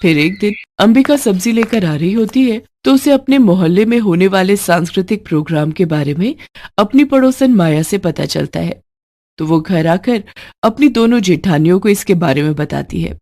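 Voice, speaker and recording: A woman's voice: mid-range voice, animated, normal speed, warm tone. A woman narrator reading a scripted domestic tale in a storytelling style.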